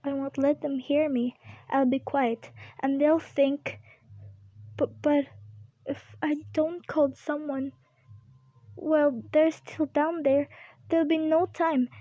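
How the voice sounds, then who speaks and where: A woman's voice: sits high, fairly even intonation, slow pace, pauses often, warm tone, no room sound, dull audio. A young woman in an amateur radio play acting a nervous, demanding invalid wife, agitated and stammering. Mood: terrified, pleading. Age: young adult.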